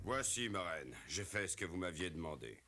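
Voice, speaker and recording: A man's voice: low voice, sing-song, normal speed, heavily acted. A man voicing a tormented, tender-hearted royal huntsman in a French-dubbed fairy-tale cartoon, earnest and imploring. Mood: subdued, deferential. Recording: loud music under the voice.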